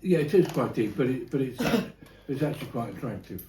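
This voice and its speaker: A Welsh man, an art critic, talking in animated, stumbling, sweary banter at a dinner table. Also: mid-range voice, moderate pace, far from the mic. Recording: echoey room, off-mic, faint noise.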